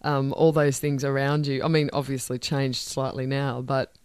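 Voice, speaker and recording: A woman's voice: very deep voice, level tone, normal speed, a little mellow, clean tone, dry recording. A woman with an Australian accent hosting a radio interview in a studio, chatty and enthusiastic with small restarts. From northern New South Wales, Australia. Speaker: radio host. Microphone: studio microphone.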